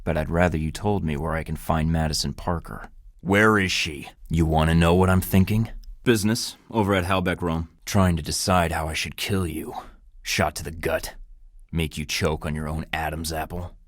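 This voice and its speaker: A deep-voiced, cocky American spy. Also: male voice, steady tone, normal speed, dry recording, studio quality. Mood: threatening. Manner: wisecracking, flirty and menacing by turns. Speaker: game protagonist. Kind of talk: video game voice acting.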